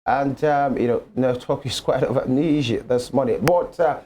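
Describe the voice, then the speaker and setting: A man's voice: mid-range voice, moderate pace, dark tone, a little hiss or hum. A man hosting a live morning TV talk show, chiming in and probing with questions. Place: television studio.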